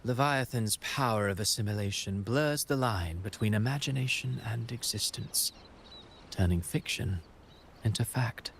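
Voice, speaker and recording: A man's voice: lowish voice, normal speed, vocal fry, slight background noise. A man playing a grandiose, self-assured mastermind villain in a video game cutscene, composed and eloquent, with faint ambience behind. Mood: smug, composed.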